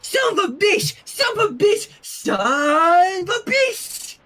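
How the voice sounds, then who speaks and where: A narrator putting on a gleeful little baby girl who parrots words in a loud sing-song. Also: extremely high pitch, sing-song, slow pace, pitched above the performer's own voice, heavily acted. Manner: sing-song, shouted repetition.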